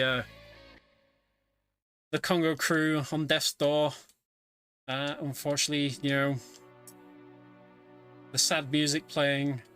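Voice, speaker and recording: Bright-voiced man commentating a video game. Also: mid-range voice. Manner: chatty, wry storytelling. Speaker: speedrunner commentating own run. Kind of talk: live gaming commentary. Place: home setup, streamed online event. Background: game music.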